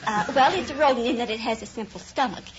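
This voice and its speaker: A woman's voice: fairly high pitch, fast talker, a crowd in the background. An American woman from Kansas chatting good-humouredly as a radio quiz contestant, explaining things a little hesitantly. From Kansas, USA. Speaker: quiz show contestant, chinchilla rancher. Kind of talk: unscripted-sounding interview chat on radio quiz. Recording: dull noisy old radio recording, studio audience.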